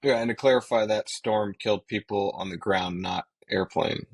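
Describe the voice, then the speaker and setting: Male, low voice, podcast co-host, pilot, earnest, explanatory, casual discussion. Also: moderate pace, breathy edge.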